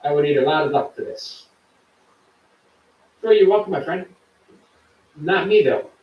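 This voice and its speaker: Guy hosting a livestream, kinda high voice, echoey room, sounds a bit far from the mic. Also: animated, measured pace, dull audio. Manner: chatty, warm to viewers, reads chat aloud. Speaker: livestream host. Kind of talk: casual live chat reading comments.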